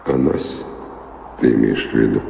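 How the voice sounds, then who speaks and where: An actor playing a world-weary wandering singer, calm, dreamy and detached, in a radio drama. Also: male voice, very deep voice, normal speed, exaggerated voice. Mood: quiet, guarded. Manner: detached, musing, understated. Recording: echoey hall with clear background noise.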